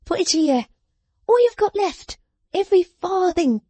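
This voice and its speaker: A woman reading aloud, voicing a sharp-tongued, bossy young dressmaker girl who scolds with snapping emphasis. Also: very high-pitched, animated, a little slow, no room sound, pitched above the performer's own voice. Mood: scolding.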